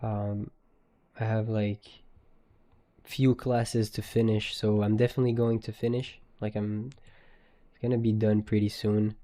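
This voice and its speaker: A young man with a Quebec French accent, his first language French, talking loosely and full of slang as he answers viewers. His voice sits a little low and sounds mellow and soft-edged. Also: flat delivery, unhurried, pauses often. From Quebec, Canada. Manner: loose, slangy, laughs easily. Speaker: day trader live streamer. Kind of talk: casual live stream chat, answering viewers.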